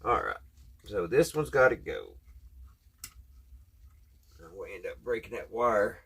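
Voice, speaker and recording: A man with a Southern US accent and a slightly husky voice, chatting casually in a folksy way as he talks through a hands-on repair, thinking aloud. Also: lowish voice, expressive, slow, fairly bright tone, slight background noise. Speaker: host of a radio repair video. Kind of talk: casual hands-on tutorial chat. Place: at a workbench.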